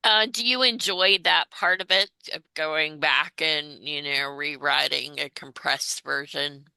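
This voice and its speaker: A woman's voice: low-pitched, steady tone, a little slow, gravelly, pushed and bright, clear, resonant tone, no room sound, rough recording. A woman interviewer asking casual questions, tentatively rephrasing them as she goes.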